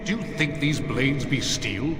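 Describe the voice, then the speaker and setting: A stern proud warrior ghost in a video game, super formal n dramatic, big echo, noisy. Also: male voice, mid-range voice, expressive, measured pace. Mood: proud, lecturing. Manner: formal, declamatory, haughty. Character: proud, stern male warrior spirit of valor. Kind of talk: fantasy video game dialogue. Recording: echoing reverb with loud background noise.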